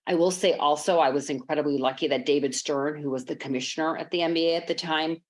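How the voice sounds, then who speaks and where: A woman's voice: sits low, fairly even intonation, fast talker. A woman from Chicago, a sports executive, telling her life story in a podcast interview over a remote call, earnest and reflective with many ums and uhs. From Chicago, United States.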